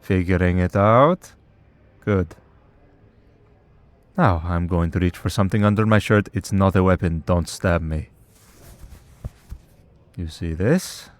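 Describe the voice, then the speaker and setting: An immortal male vampire who hunts his own kind, acted by a man for an audio roleplay. His voice sits low, and he talks in a wry, conversational way with weary patience and dry exasperation. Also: no room sound. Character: centuries-old male vampire hunter in priest's collar, wry, patient. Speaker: voice actor. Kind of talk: scripted ASMR roleplay.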